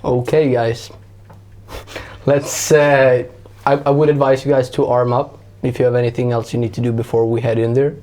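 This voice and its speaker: A man joins in a tabletop roleplaying game with casual, improvised lines. His tone is dark and soft-edged, and his pitch stays fairly flat at a middling height. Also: normal speed.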